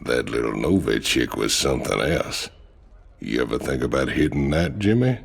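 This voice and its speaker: A gruff, gravelly marine bruiser. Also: male voice, lively intonation, normal speed, full character voice. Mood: taunting. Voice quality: very deep gravelly drawl. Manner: crude, mocking. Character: brash burly male space marine, crude and taunting.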